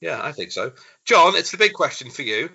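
A man's voice: fairly high pitch, very animated, a little fast, creaky, sharp tone, husky, dull audio. A man hosting a podcast, enthusiastic, with stammering restarts. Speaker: podcast host. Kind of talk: casual podcast chat.

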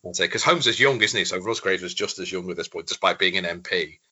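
A man's voice: low-pitched, fast talker, pushed and bright, husky, dull audio. A man hosting a podcast, enthusiastic, with stammering restarts. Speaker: podcast host. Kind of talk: casual podcast chat.

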